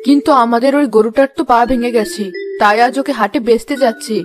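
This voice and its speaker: A woman's voice: fairly high pitch, quick pace, music in the background, close to an everyday voice. A woman playing a practical, fretful old village wife and mother in a cartoon fairy tale. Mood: hesitant.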